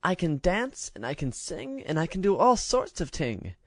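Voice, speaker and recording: A tiny ragged girl chirps in lisping baby talk, squeaky high and full of bounce, as a man reads her out. She is hungry, merry and cheeky, a storybook urchin. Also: very animated, moderate pace, a bit bright, no room sound, clean studio sound, full character voice. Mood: chirpy childish.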